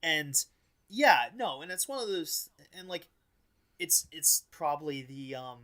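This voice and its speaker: A man's voice: fairly high pitch, big pitch swings, a little slow, bright, forceful tone. A man co-hosting a podcast, giving casual analysis in a choppy, hesitant way with many restarted sentences. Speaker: podcast co-host.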